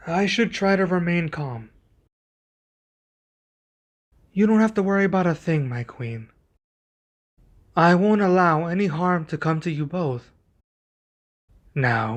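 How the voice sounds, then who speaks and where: A man playing a young king and protective husband in an ASMR roleplay, speaking in a formal, measured, deliberate way. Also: on the high side, close to an everyday voice. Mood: tender, reassuring.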